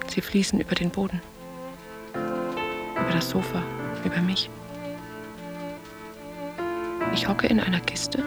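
A searching, haunted woman lost in memory in a radio play. Her medium-pitched voice is breathy and frayed with creak, speaking inwardly in short fragments. An instrument plays clearly behind her. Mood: anxious, oppressed. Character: introspective woman recalling childhood and love, haunted, searching. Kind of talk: literary radio play monologue.

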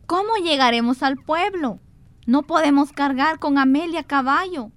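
A woman's voice: high voice, moderate pace, dry recording, close to an everyday voice. A woman playing a caring, anxious household woman with an earnest manner in an amateur radio drama. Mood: worried.

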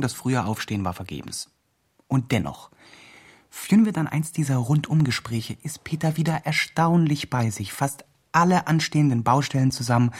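Husky, self-justifying do-gooder. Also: male voice, medium pitch. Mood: admiring, reflective. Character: well-meaning, self-justifying helper reporting on his protégé. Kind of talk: radio play monologue, report-like narration.

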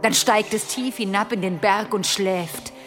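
An older woman playing a bitter old crone in a German audio drama, foreboding and scornful, at times breathy. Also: mid-range voice, measured pace, over music, lightly acted. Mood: dark recounting. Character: bitter old crone, grim keeper of dark lore.

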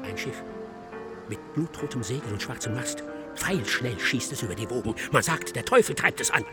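An actor playing an excitable, self-absorbed male scholar in a German radio drama, lecturing insistently and theatrically. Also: medium pitch, normal speed, loud music behind the voice, lightly acted. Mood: hushed, ominous. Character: excitable, self-absorbed male scholar expounding his dark ghost-ship thesis.

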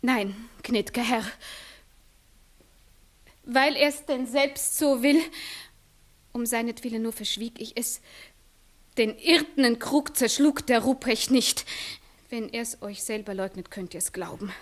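An actress playing a proud, wronged village maiden in a classical German radio play, impassioned and declamatory. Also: female voice, a little high, slow, pauses often. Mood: resolute. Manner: impassioned, declamatory, breathy reproaches. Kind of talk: 1960s German-language classical radio acting.

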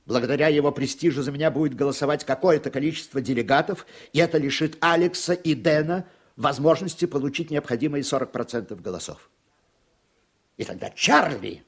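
Middle-aged judge, raspy voice goes up and down a lot, wry brooding radio play style. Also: male voice, mid-range voice. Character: troubled, wry judge talking privately with his wife. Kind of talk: Russian radio play acting.

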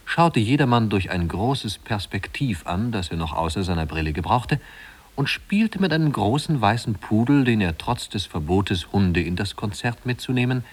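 An actor playing a measured, gently ironic storybook narrator in a 1950s-style German radio play. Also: male voice, on the low side, very animated, husky. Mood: measured, descriptive.

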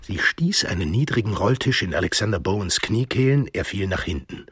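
Low-voiced, expressive male narrator. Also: a little fast, breathy edge, no echo, clean studio sound. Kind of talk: scripted literary narration in a radio drama. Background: music.

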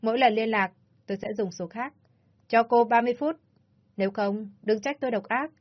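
A woman's voice: on the high side, brisk, highs cut off, lightly acted. A woman voicing a menacing man kidnapper who gives cold threats over the phone. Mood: threatening.

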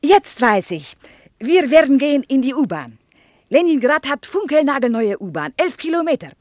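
An actress playing a proud, guarded Soviet woman interpreter who speaks halting German as a native Russian speaker in a radio drama. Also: high voice, big pitch swings, no room sound, clean studio sound. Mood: firm, polite. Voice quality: foreign-accented, halting syntax. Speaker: interpreter and guide.

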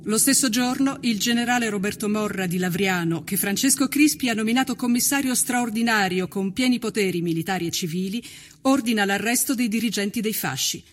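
A man reading scripted documentary narration in a solemn, measured and dramatic way. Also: high-pitched, fairly bright tone, a little hiss or hum.

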